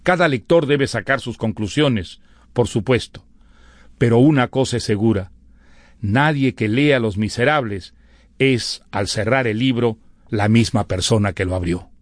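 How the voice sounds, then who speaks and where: A man presenting a novel in scripted literary commentary, measured, formal and eloquent. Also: mid-range voice, no room sound, studio quality.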